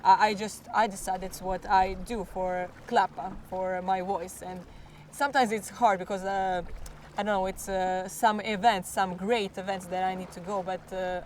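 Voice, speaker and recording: Hoarse Croatian teenage girl, halting. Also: medium pitch, fairly even intonation, a little hiss or hum. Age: teens. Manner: halting, searching for English words. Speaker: klapa singer. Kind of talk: interview answers.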